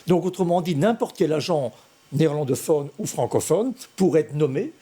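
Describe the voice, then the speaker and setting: A politician on a studio debate panel, pressing his point and cutting in over the others. His voice is husky and mellow, fairly high, with lively swings in pitch. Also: normal speed. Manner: insistent, interrupts, emphatic. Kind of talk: televised debate.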